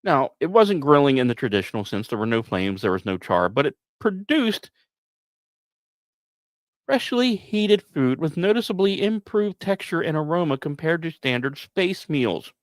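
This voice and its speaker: A man's voice: a little high, very animated, moderate pace, dry recording. A man hosting a podcast, narrating science news from notes with casual, wry asides. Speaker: podcast host.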